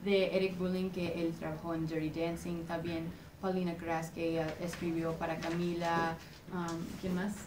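Young female singer, halting interview answers, fairly flat delivery, distant camera audio in an echoey room. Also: lowish voice. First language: English. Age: young adult. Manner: hesitant, searches for words, many "um"s. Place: dim indoor room. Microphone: far camera. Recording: echoey room, far-off camera mic, clear background noise.

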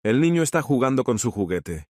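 A man reading short scripted language drill sentences as a teacher, enunciating clearly and deliberately. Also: medium pitch, very animated.

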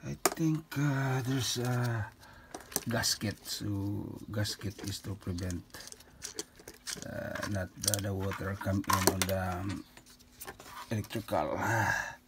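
A handyman explaining a repair step by step in halting speech with many fillers, working outdoors on a patio with a phone camera. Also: on the low side, steady tone, heavy background noise. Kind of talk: hands-on repair demonstration.

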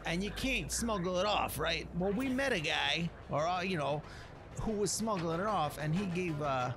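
A man's voice: sits high, lively intonation, moderate pace, music in the background. A man doing rambling in-character game commentary in a colloquial, streetwise wiseguy manner. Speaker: gameplay narrator playing a storyteller character.

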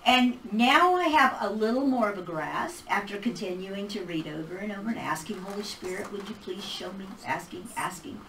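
A middle-aged woman teaching a Bible class in a casual, animated way, laughing often and holding a mic. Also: medium pitch, normal speed, hardly any pauses, noisy background. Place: small church hall with projector screen. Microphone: handheld mic. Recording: roomy hall recorded from far off.

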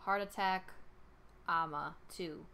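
Low-voiced young New York woman streamer, noisy audio. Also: slow, gravelly, sharp tone. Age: young adult. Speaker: live streamer. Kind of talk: casual live-stream chat, reading out usernames. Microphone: headset.

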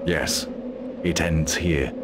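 An actor playing a stern, fearless, battle-hardened mercenary commander in a fantasy game, a man with a terse, forceful delivery. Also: very deep voice, drawn-out words, heavy background noise, full character voice. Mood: brooding. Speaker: army commander. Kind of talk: fantasy video game cutscene acting.

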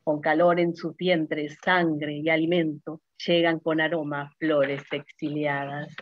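A woman's voice: sits low, little pitch movement, slow, no echo. A woman poet with a Uruguayan Spanish accent, speaking at an online literary event. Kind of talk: poetry reading and introductory remarks at an online launch. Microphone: video call. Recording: dull lo-fi video call audio.

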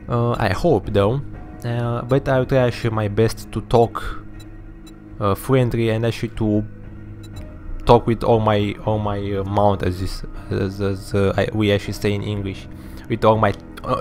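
A fairly low-voiced man from Romania talks through a video game in accented English, halting and restarting often and leaning on the same filler word again and again. He speaks close to a desk mic in a small room, with faint game music underneath. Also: a little mellow. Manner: halting, many fillers and restarts, says "actually" constantly. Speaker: gaming channel host. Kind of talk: casual gameplay commentary. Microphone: desk microphone close to mouth.

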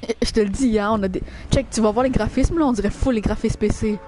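A woman's voice: medium pitch, quick pace, mellow, slight background noise. A woman with a Quebec French accent chatting casually while livestreaming a game, slangy and crude in her teasing. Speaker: video game streamer.

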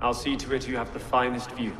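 An actor playing a proud revolutionary politician in a game, formal and emphatic. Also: male voice, mid-range voice, level tone, quick pace, lightly acted. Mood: declamatory. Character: proud, independent-minded male revolutionary politician. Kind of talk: dramatic video game cutscene acting. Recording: echoing hall under loud music.

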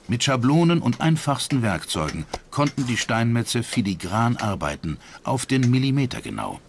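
Male documentary narrator: lowish register, animated intonation, measured factual read, ambient noise behind. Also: vocal fry.

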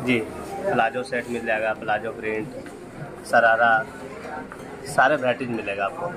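A man's voice: lowish voice, fairly even intonation, normal speed, crowd noise. A man working as a shop assistant, who politely lists the clothing on offer and its prices. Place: clothing floor of a mall.

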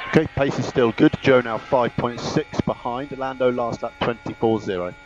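A man speaking as a race engineer over team radio, giving clipped, measured instructions and repeating the key calls. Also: medium pitch, steady tone, highs cut off, background noise. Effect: team radio transmission.

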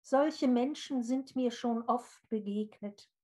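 Small, cheerful old woman with unhurried speech played by a woman. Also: fairly high pitch, low-quality recording. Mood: knowing, emphatic. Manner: cheerful, open, gently encouraging. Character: small old woman, light-hearted, fearless, kindly and girlish.

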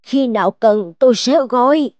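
A woman voicing a kindly, anxious old village midwife in an animated fairy tale, with an earnest, drawn-out delivery. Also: on the high side, no echo, highs cut off, natural voice. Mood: urging. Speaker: voice actor.

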